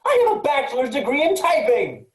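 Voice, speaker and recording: A woman's voice: high-pitched, big pitch swings, a little fast, echoey room. A woman playing an earnest, insistent, rule-abiding programmer aboard a spaceship in a virtual staged play reading. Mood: upset, protesting.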